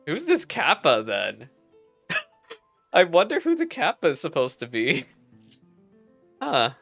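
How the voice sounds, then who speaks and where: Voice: mid-range voice, wildly swooping pitch, takes it slowly, vocal fry, a bit bright. A game streamer of unclear sex, rambling and exclaiming while reacting live to a story quest. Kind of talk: reading game dialogue aloud with excited live reactions. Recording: game music behind the voice. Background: video game music.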